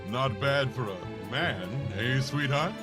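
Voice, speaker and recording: A man's voice: mid-range voice, lively intonation, slow pace, over music. A man acting a smug, affectionate husband in a 1940s-style radio commercial, with a hammy manner. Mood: smug, cheerful.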